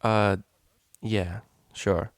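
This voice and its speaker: A man's voice: on the low side, steady tone, extremely slow, clear, resonant tone, dry recording. Mischievous storybook unicorn mare DJ, casual and teasing, read by a man in a dry, close recording. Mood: awkward, casual. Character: cheeky mischievous female unicorn DJ pony.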